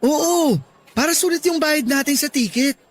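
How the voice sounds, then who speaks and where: A man's voice: extremely high pitch, normal speed. A man playing an apologetic, pleading young boyfriend in a radio drama, coaxing and eager to please. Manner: placating, coaxing.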